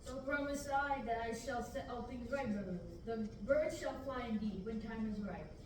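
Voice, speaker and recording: A young woman reading the part of a stern middle-aged farmer uncle in Shakespearean verse at a student table reading, steady and measured. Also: a little high, natural voice. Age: young adult. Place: large classroom, indoors. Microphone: far camera. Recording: echoey room, from far off, background hiss.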